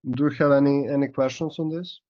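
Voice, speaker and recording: A man speaks as an in a casual coaching call, hoarse and running low on voice. His explanations wander with "like" and "uh". The video-call sound is dry and dull. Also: fairly even intonation, quick pace, no room sound. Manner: loose, filler-heavy explaining. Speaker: coach giving feedback.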